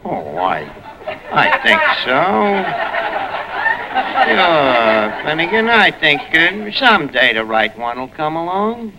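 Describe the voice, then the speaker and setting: A man's voice: on the high side, sing-song, takes it slowly, a loud crowd, full character voice. A man playing a brash, slangy New Yorker who mangles fancy words in a 1940s radio comedy. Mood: pitying. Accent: New York. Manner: wisecracking, slangy, malapropisms. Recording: noisy old radio recording with studio audience.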